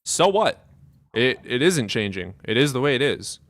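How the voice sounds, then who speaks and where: A man's voice: mid-range voice, big pitch swings, moderate pace, fairly bright tone, no echo. A man hosting a live stream debate, blunt and impatient, cutting in on callers. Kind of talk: combative debate.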